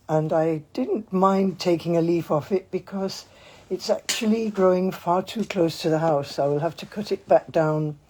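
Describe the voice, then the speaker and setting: Slow, hoarse woman giving a mindfulness talk. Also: sits low. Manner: chatty and meandering, gently informal. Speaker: mindfulness teacher. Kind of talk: casual livestream with guided breathing. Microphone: phone, handheld at times.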